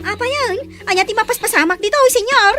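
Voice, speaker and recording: A woman acting in an audio drama, shrill and excited. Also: fast, soft background music.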